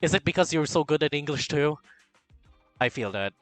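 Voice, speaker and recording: A man from the Philippines chatting casually on a livestream, reading chat aloud in a campy, animated way, drifting between quiet mumbles and loud bursts of excitement. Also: fairly high pitch, moderate pace, no room sound, soft background music. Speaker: streamer.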